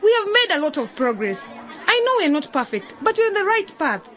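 A high-voiced, bossy choir leader. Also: female voice, sing-song, brisk, a bit bright, a faint crowd, heavily acted. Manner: bossy, insistent. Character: bossy young woman leading a choir. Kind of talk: radio drama acting. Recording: dull lo-fi radio recording.

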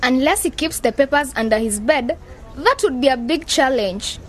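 An actress playing an excitable, outspoken young woman in an educational radio drama, with an emphatic, teasing manner. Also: high-pitched, moderate pace. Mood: arguing.

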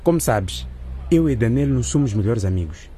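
An actor playing a wary, sceptical teenage schoolboy in a radio drama. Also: male voice, mid-range voice, lively intonation, fairly quick, dark tone, a little hiss or hum, natural voice.

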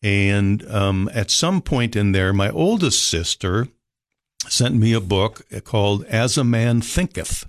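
An older man from Minnesota with a Minnesota accent, telling his life story as a guest in an unhurried, polite way. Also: lowish voice, animated, dry recording, clean studio sound. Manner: unhurried, polite, frequent fillers. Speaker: rescue mission director, guest. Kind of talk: relaxed storytelling interview.

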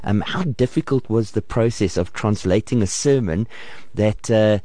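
Low, mellow-voiced man hosting. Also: normal speed, no echo. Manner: stammering, repeats words. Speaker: host. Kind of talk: panel discussion.

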